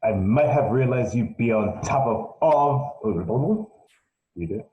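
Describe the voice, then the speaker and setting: A man voicing a worried older police commissioner with a gentle, fretful manner, in a roomy echoey room. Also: medium pitch, animated, slow, dull audio.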